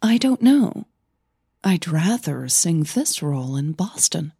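A woman voicing a guarded, hesitant young singer in a hushed, breathy way for an audio drama. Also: low voice, very animated, takes it slowly, no echo, clean studio sound, exaggerated voice. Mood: hushed, hopeful.

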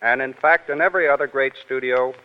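A man's voice: medium pitch, brisk, a little hiss or hum. A man giving a prepared, scripted talk as a guest on a 1930s radio show. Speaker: guest speaker, casting director. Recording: muffled, reverberant 1930s radio recording.

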